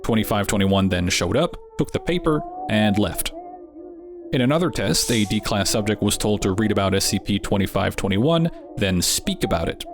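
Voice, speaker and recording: A man's voice: on the low side, lively intonation, normal speed. A man hosting a channel, giving scripted narration with wry, dryly joking asides. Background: background music.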